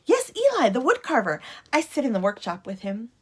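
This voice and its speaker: A woman's voice: on the high side, huge pitch swings, a little fast, husky, voice pushed up, heavily acted. A woman voicing a carefree young female wooden puppet in a storybook, light and matter-of-fact. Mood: breezy.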